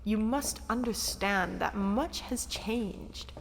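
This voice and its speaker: Long hall reverb carries a cool, condescending female guide acted by a woman. She speaks slowly at a medium pitch, formal and haughty, in the manner of sci-fi audio drama. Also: vocal fry, slight background noise. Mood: cool, guarded.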